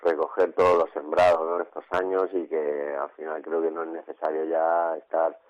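Deep, flat-voiced middle-aged man. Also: moderate pace, highs cut off. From La Mancha, Spain. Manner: hesitant, many fillers and restarts. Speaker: chef interviewee. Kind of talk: casual interview answers.

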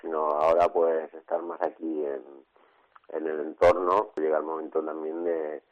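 Deep, flat-voiced middle-aged man. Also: moderate pace, highs cut off. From La Mancha, Spain. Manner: hesitant, many fillers and restarts. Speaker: chef interviewee. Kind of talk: casual interview answers.

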